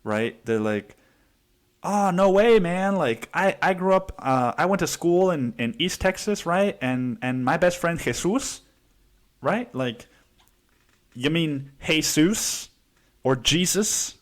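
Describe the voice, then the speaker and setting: A young man from Cancun hosting a solo podcast, ranting in a profane, casual way and mimicking other people in a higher voice. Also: lively intonation, slow. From Cancun, Mexico. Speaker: podcast host.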